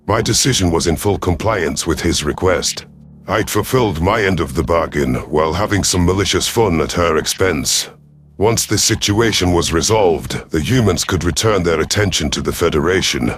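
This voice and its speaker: A man with a deep, low-pitched voice narrates science fiction. He reads a scripted first-person story as an audio drama narrator.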